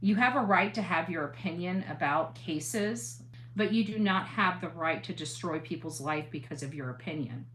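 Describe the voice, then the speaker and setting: A woman speaking earnestly to an audience as a commentator, halting and emphatic, repeating phrases to press her point. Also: lowish voice, a bit bright, poor audio. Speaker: interviewee, online true crime commentator.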